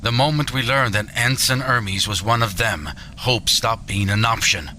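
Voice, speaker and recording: An actor playing a ruthless military general in a science fiction audio drama, cold, grave and deliberate in manner. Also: male voice, mid-range voice, pushed and bright, a little hiss or hum. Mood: grim, insistent.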